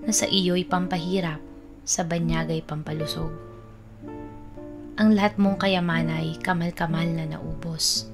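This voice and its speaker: A woman with a Filipino accent reciting poetry in a formal reading. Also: on the low side. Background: plucked guitar music.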